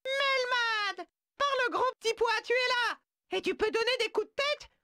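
A woman voicing a tiny, excitable male animal who frets and stammers. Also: very high voice, normal speed, no echo, full character voice. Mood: fretful. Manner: fretful, stammering. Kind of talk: video game dubbing.

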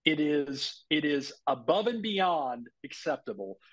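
Male legal analyst, emphatic and very animated, slightly high, husky voice. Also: measured pace. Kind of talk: interview commentary. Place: indoor room. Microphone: video call.

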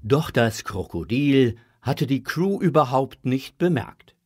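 Male narrator for kids' audio play; unhurried, husky, lively intonation. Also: medium pitch, dry recording, studio quality, soft background music. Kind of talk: expressive scripted narration for a children's audio drama.

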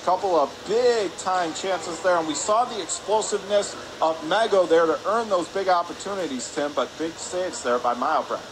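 A man giving live radio-style hockey play-by-play in clipped phrases, getting excitable on big plays. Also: sits high, moderate pace, hardly any pauses. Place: indoor ice hockey arena. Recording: clear rink noise behind. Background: arena ambience and noise.

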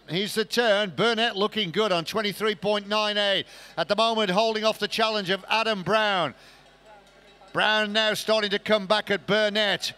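A man's voice: high voice, sharp tone. A man giving live swimming race commentary as the commentator.

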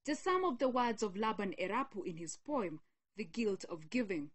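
A young woman reporter reading a scripted news feature in a measured, solemn way. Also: medium pitch, animated, husky.

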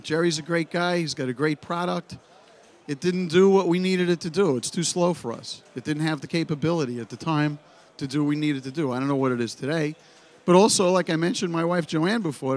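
A middle-aged man with a Brooklyn accent gives a blunt, animated seminar talk into a handheld microphone on a dark expo stage. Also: fairly high pitch. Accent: Brooklyn New York. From United States. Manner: blunt, animated storyteller, full of "you know". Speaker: pinball company head giving a seminar. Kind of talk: informal conference talk.

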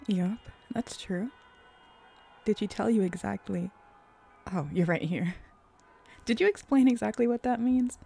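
Flirty female hellhound played by a woman for ASMR roleplay: eager, teasing, mellow, gravelly fry. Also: mid-range voice, a little slow, pauses often, faint music behind. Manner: teasing, sultry, with breathy whispers. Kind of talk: roleplay ASMR audio drama.

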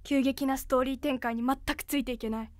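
An actress playing a put-upon foreign film star with blunt, old-fashioned speech in a comedic voice drama. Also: female voice, high voice, fairly even intonation, fast talker, a little mellow, no echo, studio quality, close to an everyday voice. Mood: bewildered.

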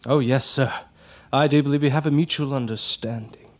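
An actor playing an overly courteous, strangely cheerful servant who speaks formally and swoops up high. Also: male voice, steady tone, a little fast, lightly acted. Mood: polite eerie. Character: overly polite odd male servant, eerily cheerful. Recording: music playing under the dialogue.

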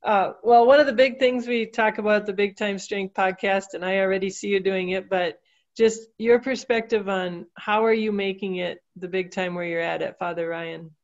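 A woman's voice: medium pitch, fairly even intonation, normal speed. A woman hosts a podcast, putting casual, friendly questions to her guest. She speaks from a room at home through a webcam, and her audio sounds dull and lo-fi. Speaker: podcast host, interviewer. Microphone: webcam on video call. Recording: dull lo-fi video-call audio.

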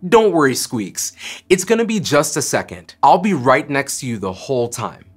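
A man in his thirties hosting a children's science lesson, speaking brightly and encouragingly to the camera and to a puppet sidekick in a studio. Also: medium pitch, big pitch swings, moderate pace. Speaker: children's science show host. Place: studio set.

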